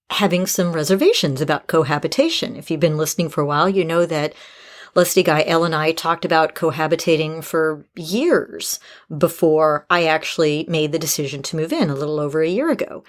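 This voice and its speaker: Woman, podcast host, slightly low pitch, conversational advice, measured explanatory delivery.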